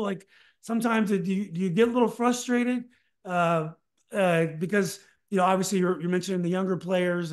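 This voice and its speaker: Middle-aged man hosting, halting questions. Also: high-pitched. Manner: halting, restarts questions often. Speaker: podcast host. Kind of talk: casual interview over video call. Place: home study with bookshelves. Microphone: desk mic on video call.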